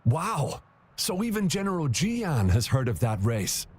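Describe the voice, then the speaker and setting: Excitable boyish racer, high-pitched. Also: male voice, unhurried, husky, exaggerated voice. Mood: eager. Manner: bubbly, enthusiastic, easily flustered. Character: eager, excitable boyish young relay racer. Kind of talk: video game voice acting.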